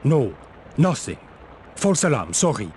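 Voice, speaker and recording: A man's voice: medium pitch, sing-song, a little slow. A man playing a disguised agent bluffing casually in a video game, with noisy audio.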